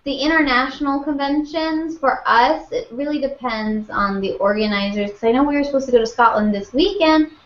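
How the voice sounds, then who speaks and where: A woman's voice: high-pitched, brisk, hardly any pauses, a little hiss or hum. A woman chatting as a guest, bubbly and laughing, with lots of "like". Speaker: guest youtuber. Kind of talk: casual chat. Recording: dull lo-fi audio in an echoey room.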